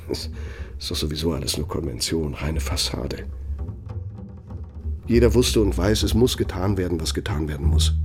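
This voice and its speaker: An actor playing a cynical, bitter middle-aged architect and old Nazi functionary in a German radio play, gravelly, with a pointed, self-justifying delivery. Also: male voice, deep voice, a little mellow. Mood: bitter, sardonic. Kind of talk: German radio play acting, dramatic monologue.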